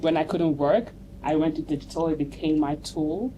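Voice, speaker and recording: A woman from Nigeria introducing herself on a panel as a content creator, telling her story in a relaxed, personal way. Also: low voice, normal speed, lo-fi sound. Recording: clear background noise on a live panel mic.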